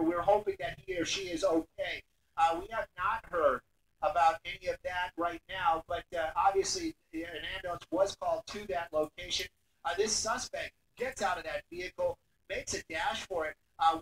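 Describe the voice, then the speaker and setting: Male helicopter news reporter, high-pitched, halting delivery with repeats, roomy airborne link with faint noise. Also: a bit bright. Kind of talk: live breaking-news commentary. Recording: roomy, distant airborne radio link, noisy.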